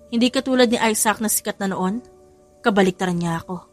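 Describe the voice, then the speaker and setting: A woman's voice: medium pitch, fast talker, soft background music, close to an everyday voice. A woman playing an earnest man pleading with the one he loves, in an audio romance story. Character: earnest man pleading for his lover's forgiveness.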